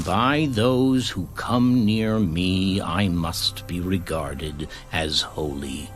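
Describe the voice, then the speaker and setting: An actor playing a solemn, authoritative male prophet giving commands gravely and deliberately in a dramatized scripture reading. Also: low-pitched, heavily acted.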